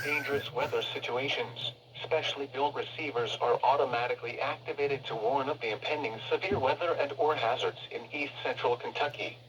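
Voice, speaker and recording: An automated man's voice reads a formal bulletin in an impersonal manner. It comes out of a weather radio speaker some distance from the mic, with clear noise over it. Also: mid-range voice. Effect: played through a weather radio speaker. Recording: distant noisy radio reception, re-recorded in room.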